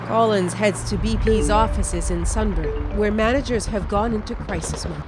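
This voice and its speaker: A woman news correspondent reading a scripted documentary report in a measured, dramatic storytelling way. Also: mid-range voice. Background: music bed under the voice-over.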